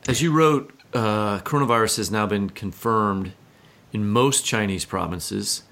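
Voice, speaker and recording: Low-voiced man hosting an interview. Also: measured pace, vocal fry, fairly bright tone. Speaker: podcast co-host interviewer. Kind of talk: conversational interview questions.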